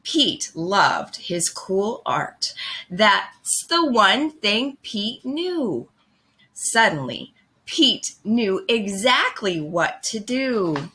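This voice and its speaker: A woman reading a children's picture book aloud in an expressive, deliberate way. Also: medium pitch. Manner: slow, expressive, deliberate phrasing. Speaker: storybook reader.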